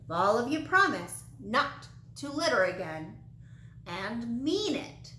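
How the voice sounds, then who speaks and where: A woman voicing a stern, weary old man, a storybook wizard who speaks in a steady, serious, deliberate way. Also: fairly high pitch, reverberant, slight background noise, exaggerated voice. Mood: calm, instructive.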